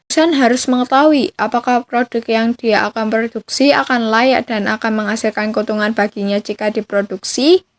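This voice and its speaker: Young female student podcaster, Indonesian accent, slightly high voice, reading from a script. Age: teenager or young adult.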